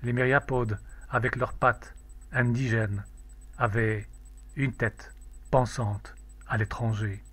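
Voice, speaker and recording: A man reciting a poem in a measured, declamatory, solemn way. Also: medium pitch, steady tone, fairly bright tone, no echo. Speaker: poetry reader.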